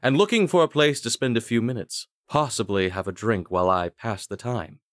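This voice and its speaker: A man voicing an elegant, mysterious stage magician in an audiobook, theatrical, measured and courteous. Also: medium pitch, no echo, studio quality, pitched above the performer's own voice, close to an everyday voice. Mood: courtly, mysterious.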